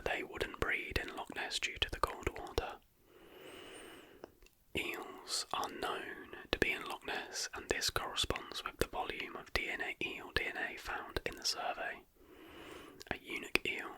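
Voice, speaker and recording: An ASMR host speaking entirely in an unvoiced, breathy whisper, reading aloud and commenting in a soft, deliberate storytelling way.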